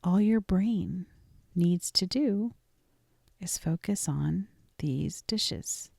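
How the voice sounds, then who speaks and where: A woman leading a guided meditation in a soft, gentle, deliberate voice that often trails toward a whisper, with frequent pauses. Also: lowish voice, lively intonation, gravelly, dry recording, clean studio sound. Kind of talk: guided meditation, scripted and soothing.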